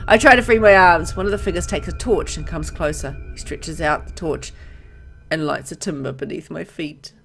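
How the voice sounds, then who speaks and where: Let's player, medium pitch, reading story text aloud, informal asides, music in background. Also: moderate pace, creaky, fairly bright tone. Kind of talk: reading visual novel aloud with casual commentary.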